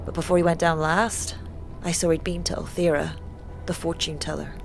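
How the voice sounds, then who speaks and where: A woman's voice: fairly low pitch, little pitch movement, moderate pace, creaky, faint music behind. A woman playing a frank, worried villager in a fantasy audio drama, talking in an uneasy conversational way.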